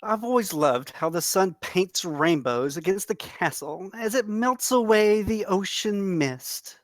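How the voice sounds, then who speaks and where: A performer acting an earnest, cautious servant companion to a princess in a script read, measured and courteous. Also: medium pitch, expressive, dry recording, close to an everyday voice. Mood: gentle, apologetic. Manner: measured, courteous, formal diction.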